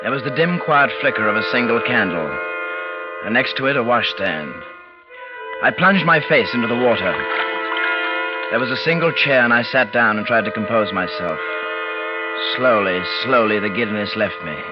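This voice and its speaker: An actor playing an uneasy man who narrates his own frightening night, deliberate and dramatic, in a 1940s radio drama. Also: mid-range voice, lightly acted. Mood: tense, wary. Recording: dull vintage radio, music behind.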